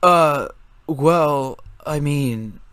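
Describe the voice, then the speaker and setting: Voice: medium pitch, drawn-out words, a bit bright, smooth, dry recording. A performer voicing an awkward, anxious boy who sounds hesitant and unsure, in a dramatic story reading.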